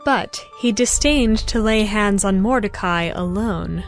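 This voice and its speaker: A woman narrating scripture from a script as a measured, formal storyteller in a dramatized audiobook. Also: mid-range voice. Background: music.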